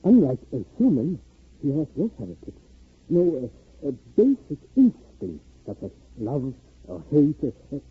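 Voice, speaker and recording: A man's voice: on the high side, very animated, a little slow, slightly rough, heavily acted. A man playing a secretive, proud professor in a 1950s radio drama, fussy and hesitant, often stammering. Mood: proud, lecturing. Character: flustered, secretive professor-inventor, proud of his creation. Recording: muffled vintage 1950s radio recording.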